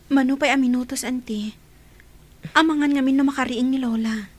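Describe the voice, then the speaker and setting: High-voiced gentle young Ilocano granddaughter played by a woman. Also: fairly even intonation, moderate pace. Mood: concerned. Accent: Ilocano Filipino. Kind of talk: audio drama acting.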